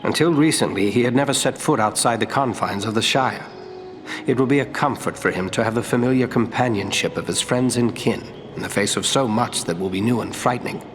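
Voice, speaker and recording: A man playing a noble, courteous ranger of royal blood in a fantasy game, speaking in sincere, measured, formal phrases. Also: mid-range voice, a little hiss or hum, close to an everyday voice. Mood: thoughtful. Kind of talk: fantasy game dialogue acting. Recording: long hall reverb, faint music.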